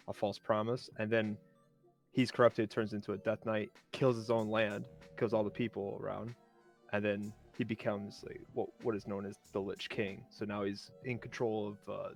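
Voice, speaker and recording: A man's voice: lowish voice, flat delivery, moderate pace, faint music behind. A man explaining things casually as a nerdy fan on a discussion panel. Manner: self-described nerdy enthusiast. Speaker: round table panelist.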